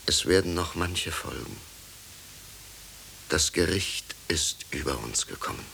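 An actor in a 1950s German radio play, playing a solemn, righteous pastor in a grave, formal, measured preaching tone. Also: male voice, sits low, gravelly, pushed and bright, full character voice. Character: solemn, righteous male pastor investigating witchcraft. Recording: hissy 1950s radio recording.

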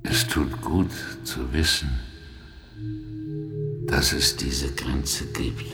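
Ancient, solemn founder for radio drama: extremely low, gravelly, brooding. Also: male voice, steady tone, exaggerated voice. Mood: solemn, contemplative. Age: older. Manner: slow, solemn, philosophical delivery. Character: blind, aged male corporate founder, solemn and brooding. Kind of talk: German science-fiction radio drama acting. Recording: echoey, music under the voice.

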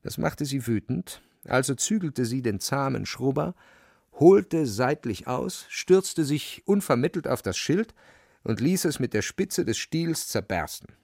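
Gravelly, animated male storyteller. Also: mid-range voice, unhurried, no echo, clean studio sound. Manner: expressive, vivid. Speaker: audio drama narrator. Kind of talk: dramatic literary storytelling.

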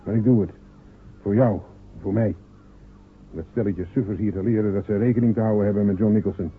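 A man's voice: on the low side, fairly even intonation, a little fast, a little hiss or hum, natural voice. A man playing stubborn proud fisherman John. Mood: determined. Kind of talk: radio play acting. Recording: narrow phone-like old radio recording.

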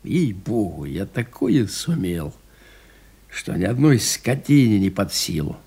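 An actor playing a rugged, proud aviator telling of his ordeal in a restrained, intense dramatic monologue with a husky voice. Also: fairly low pitch, sing-song, slow pace, a little mellow, exaggerated voice. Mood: weary, matter-of-fact. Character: rugged surviving male aviator recounting his mountain ordeal, proud and plainspoken. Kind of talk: dramatic first-person monologue in an audio play.

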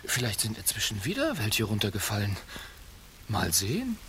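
Impatient killer in a weird radio play, bossy, clipped, pitch jumps around a lot, noisy recording. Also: male voice, wildly swooping pitch, normal speed. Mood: grim, matter-of-fact. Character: impatient male head-hunting killer, bossy and unsentimental. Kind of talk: theatrical absurdist radio play acting.

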